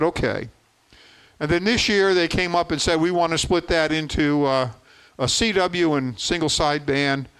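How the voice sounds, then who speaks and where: A man in his seventies presents to a club in an informal, explanatory way. His voice is smooth and clear, pitched a little high for a man. He is folksy and plain-spoken, leaning on repeated words for emphasis. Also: a bit bright. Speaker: contest organizer giving a presentation.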